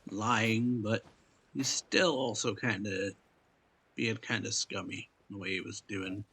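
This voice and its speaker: A man giving casual, off-the-cuff game commentary in a low-key, hesitant way, often trailing off. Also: pushed and bright, husky. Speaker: game streamer reacting to cutscenes.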